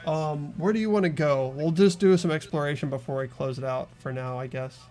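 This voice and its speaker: A man's voice: fairly high pitch, brisk, soft background music. A man hosting a game playthrough with friends, chatting casually and thinking aloud. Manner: relaxed, easygoing, thinking aloud. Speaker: host playing a game with friends. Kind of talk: casual gameplay chat.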